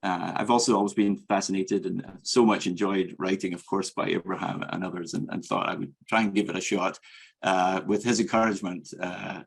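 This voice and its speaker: A man with a Scottish accent giving an academic medical lecture as a professor, in a measured, earnest and hesitant way. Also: sits low, fairly even intonation, gravelly, husky. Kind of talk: academic grand rounds presentation.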